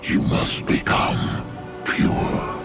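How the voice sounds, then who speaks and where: An actor playing a colossal ancient alien beast in a video game, solemn and ponderous, with a guttural, gravelly growl. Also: male voice, very slow. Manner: ponderous, portentous pronouncements. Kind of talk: video game cinematic acting. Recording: loud game music behind.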